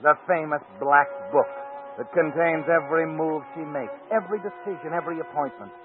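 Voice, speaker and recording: A man's voice: a little high, fairly quick, over music, lightly acted. A man narrating an old-time radio suspense drama as a knowing, insistent, foreboding voice, on a tinny old recording. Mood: suspenseful. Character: knowing, ominous male narrator addressing the hero.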